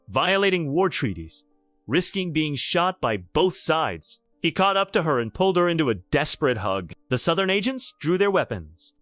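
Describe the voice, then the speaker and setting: A man's voice: fairly high pitch, a bit bright, no room sound. A man narrating a scripted romantic drama in a theatrical, emphatic storytelling style.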